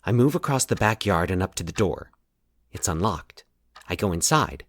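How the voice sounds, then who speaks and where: Guy playing a troubled anxious man telling his nightmares, halting, pitch swings around, really dry close sound. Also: expressive, fairly bright tone, dry recording. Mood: tense. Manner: hesitant, anxious, halting, with dramatic pauses. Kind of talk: dramatic audio fiction monologue.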